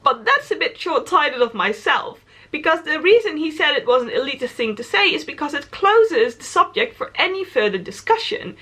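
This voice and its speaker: Dutch woman in her twenties, travel vlogger, fairly high, bright voice, thinks aloud and corrects herself as she goes. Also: big pitch swings, moderate pace, hardly any pauses. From Netherlands. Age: 20s. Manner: thoughtful, earnest, self-correcting. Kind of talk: reflective personal vlog talk.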